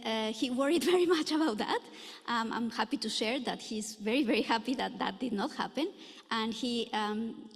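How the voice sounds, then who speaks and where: A middle-aged woman from Ecuador giving a conference lecture in a lecture room, earnest and full of filler pauses. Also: a little high. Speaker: anthropology professor giving a talk. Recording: echoey hall reverb.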